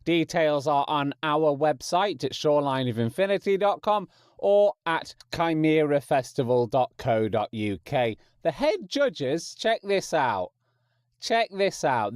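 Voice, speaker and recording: Clear-voiced man hosting a podcast, wry and chatty. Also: a little high, expressive, a little slow, darkish tone, no echo, studio quality. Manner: chatty, rambling, wry, self-mocking. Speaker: podcast host. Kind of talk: informal podcast announcements.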